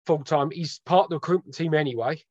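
Man from wales, slightly high voice, rambling, self-correcting, dry humour, dull lo-fi call audio, very dry sound. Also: fast talker, no room sound. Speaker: podcast guest, football fan. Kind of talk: casual chat over video call. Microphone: video call.

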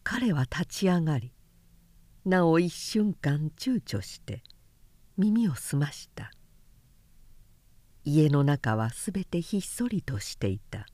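A woman narrating literary fiction aloud as an audiobook, with measured, deliberate phrasing and pauses. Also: low-pitched, sing-song, warm tone, dry recording. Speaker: narrator reading a novel aloud.

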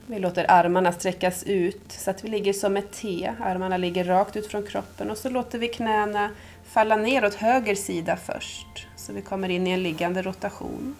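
A woman leading a guided yoga nidra meditation as instructor, calm, soothing and unhurried, with long pauses. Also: medium pitch, soft background music.

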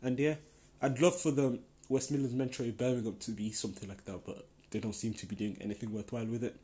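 A man's voice: medium pitch, fairly even intonation, fast talker, mellow. A young man who grew up in Denmark, hosting a casual solo podcast Q&A and talking in a rambling, enthusiastic way full of fillers. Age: young adult. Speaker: podcast host.

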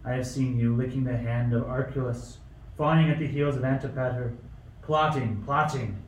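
A man's voice: medium pitch, moderate pace, natural voice. In a roomy, distant recording, a man plays an ailing old king in a radio drama. He is imperious and snappish, seeing traitors everywhere, in a middling male voice. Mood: irritable, accusing. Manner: imperious, snappish, paranoid accusations. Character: sick, suspicious, tyrannical old King Herod. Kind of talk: radio play acting. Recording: roomy, distant mic, faint noise.

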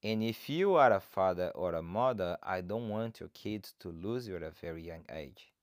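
A man's voice: low-pitched, lively intonation, normal speed, dry recording, studio quality. A man from Brazil with a Brazilian Portuguese accent, a coach hosting his own podcast and speaking to listeners in an earnest, encouraging, motivational way. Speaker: fat loss coach, podcast host. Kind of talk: solo podcast monologue.

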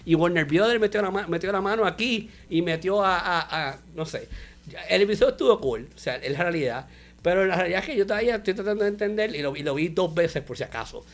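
Man, animated, slightly high voice, casual review chat. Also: fast talker. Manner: animated, mixes English words, laughs often. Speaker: podcast co-host.

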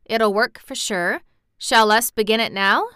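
A gentle, cheerful country boy with a way of speaking, voiced by a woman. His plain rustic talk comes through bright and crisp. The recording is dry and close. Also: on the high side, unhurried, no echo. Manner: warm plain rustic speech.

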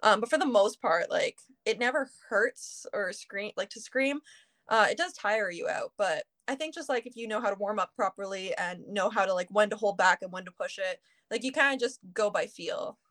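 A woman's voice: mid-range voice, moderate pace, fairly bright tone. A young woman in her early twenties talks as a guest in an easygoing interview. Her voice sits in a middle register for a woman. She rambles freely and drops "like" between nearly every phrase. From Canada. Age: early 20s. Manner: chatty, heavy use of "like" fillers. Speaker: singer, guest. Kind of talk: casual interview chat.

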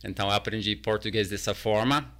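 A man with a fairly low but bright, forward voice, speaking as a talker. He chats casually as an interview guest, hesitant and often restarting with repeated words. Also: steady tone, a little fast. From New Zealand. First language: English. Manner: hesitant, repeats words while searching for Portuguese.

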